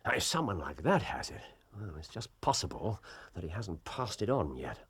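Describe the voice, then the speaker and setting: An actor playing a shrewd middle-aged English detective in a period drama, speaking in deliberate, musing phrases with theatrical pauses. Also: male voice, low-pitched, sing-song, a bit bright, husky, full character voice.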